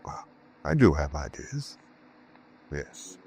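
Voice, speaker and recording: An actor playing a shaken male scientist recounting his ordeal in a halting, conversational 1940s radio monologue. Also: a bass voice, sing-song, vocal fry, a little mellow, heavily acted. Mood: uneasy, brooding. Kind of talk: first-person 1940s radio drama monologue. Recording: noisy vintage 1940s radio broadcast.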